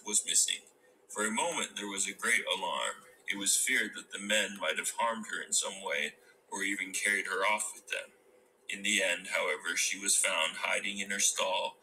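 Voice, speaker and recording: A man's voice: on the low side, pushed and bright, slight background noise, poor audio. A man reading a novel aloud as scripted storybook narration.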